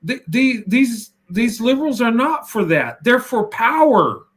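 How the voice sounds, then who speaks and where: A man's voice: extremely high pitch, big pitch swings, unhurried, fairly bright tone, husky. A man talking politics in a casual discussion, emphatic and full of stutters and restarts. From United States.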